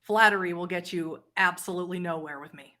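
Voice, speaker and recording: A woman playing an old vampire woman, blunt, scornful, folksy, low-pitched, tabletop roleplay. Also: brisk, sharp tone.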